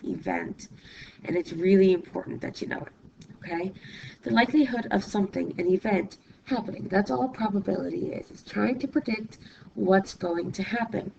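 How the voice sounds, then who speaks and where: A woman math teacher, hoarse and losing her voice from illness, patiently explaining a lesson step by step while writing, often checking in with "okay?". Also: medium pitch, muffled recording, background noise. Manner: writes while talking, pausing mid-sentence. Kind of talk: recorded classroom lesson.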